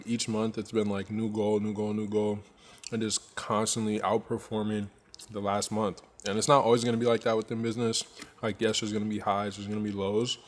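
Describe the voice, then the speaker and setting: A man around twenty, a brand owner, narrating his workday in a relaxed, candid vlog and talking straight to his viewers. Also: on the low side. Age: 20s.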